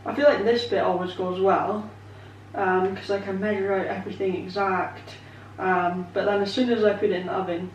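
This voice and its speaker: A woman's voice: mid-range voice, steady tone, moderate pace, distant mic, highs cut off, a little hiss or hum. A young Scottish woman in her twenties chatting casually in a home kitchen as she bakes, rambling and self-deprecating with lots of ums and likes. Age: 20s. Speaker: vlogger. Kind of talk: casual baking vlog chat. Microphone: camera set up away from her. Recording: echoey kitchen, voice from off-mic.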